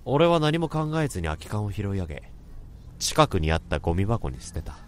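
A man's voice: lowish voice, very animated, brisk, slight background noise. A man playing a guilt-ridden office worker. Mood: reflective. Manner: introspective, self-questioning narration. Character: remorseful office worker who hears thoughts. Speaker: narrator and lead character. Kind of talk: radio drama acting and narration.

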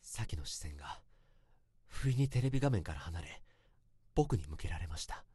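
A man's voice: sits low, very animated, moderate pace, warm tone, slightly rough, dry recording, studio quality, full character voice. Self-conscious young man, expressively confessing. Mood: hesitant, tense. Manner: formal, polite, earnest confession. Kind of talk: radio drama confessional monologue.

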